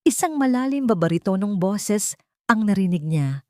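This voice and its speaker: A woman's voice: medium pitch, expressive, moderate pace, dark tone, no room sound, clean studio sound. A woman narrating a dramatic scripted love story in a solemn, melodramatic manner.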